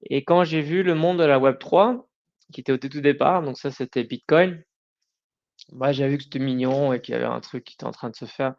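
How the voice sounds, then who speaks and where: Middle aged guy as podcast guest, gravelly fry, casual stop-start talk, bit muffled lo-fi audio. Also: mid-range voice, fairly even intonation, darkish tone. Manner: informal, halting, self-correcting. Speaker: podcast guest, company founder. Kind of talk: conversational interview answers.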